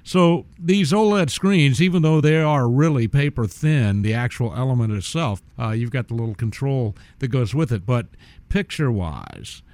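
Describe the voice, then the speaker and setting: A man's voice: medium pitch, animated, normal speed, darkish tone, no room sound. A middle-aged man guesting on a radio show, chatting about his trade in a genteel, chatty way in a studio. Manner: genteel, chatty, with small restarts. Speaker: guest audio-video installer. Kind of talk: casual radio chat.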